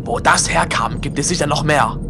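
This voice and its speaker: A man's voice: on the high side, moderate pace, lightly acted. A man playing a firm, insistent military commander in a sci-fi audio drama. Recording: echoey hall, distant, loud music behind.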